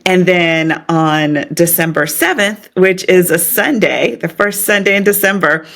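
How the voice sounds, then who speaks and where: A middle-aged woman talks as a podcast guest, her voice sitting low for a woman. She chats in an excited, friendly way, with plenty of fillers and little laughs. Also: measured pace, gravelly. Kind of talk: casual interview chat.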